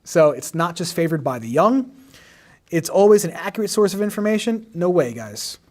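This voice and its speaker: A man in his forties, a history teacher, reviewing exam questions on a livestream, explaining patiently and tagging sentences with "right?", his voice a bit hoarse after a teaching day. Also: high voice, big pitch swings, moderate pace, a little mellow. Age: 40s. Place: home in the suburbs.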